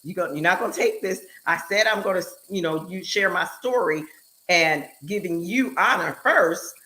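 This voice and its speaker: A woman hosting a live online show, chatting in an animated, emphatic way and speeding up in bursts. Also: lowish voice, moderate pace, poor audio. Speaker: show host and speaker.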